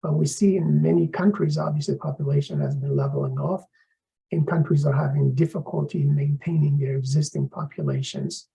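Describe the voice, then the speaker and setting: A middle-aged man from Afghanistan, a professor introducing his course in a measured, explanatory lecturing manner. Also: on the high side, wildly swooping pitch, creaky, mellow, rough recording.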